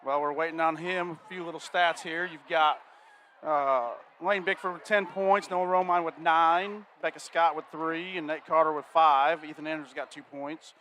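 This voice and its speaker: A man's voice: on the high side, steady tone, normal speed, a bit bright, a little hiss or hum. A man doing color commentary at a basketball game and interviewing the coach, easygoing with many fillers. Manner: easygoing, filler-heavy delivery. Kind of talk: casual sports commentary.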